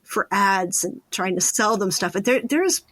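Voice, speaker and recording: A woman's voice: medium pitch, expressive, normal speed, fairly bright tone, dry recording. A woman hosting a podcast, talking conversationally with her interview guest. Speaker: podcast host.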